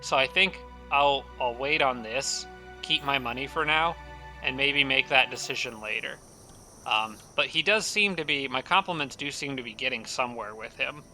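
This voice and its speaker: A man talks through his strategy game, weighing moves out loud and stumbling over restarts and fillers. His tone is very bright and edged, slightly raspy from a dry throat. Faint music plays softly under his voice. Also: mid-range voice, moderate pace. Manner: thinking aloud with frequent fillers and restarts. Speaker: strategy game let's-play host. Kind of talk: casual gameplay commentary.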